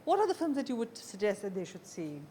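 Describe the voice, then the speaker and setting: A woman with an Indian accent hosts an interview in a conversational way. Her mid-pitched voice swings widely in intonation, with faint noise behind it. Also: sing-song, fast, mellow. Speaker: interviewer, show host.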